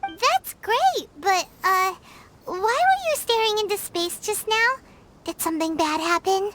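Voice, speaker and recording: A woman voicing a small, chirpy and curious fairy companion in a game, with a very high, squeaky voice. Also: animated, unhurried, a bit bright, slight background noise, full character voice. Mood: concerned. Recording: studio game audio with music behind. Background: game music.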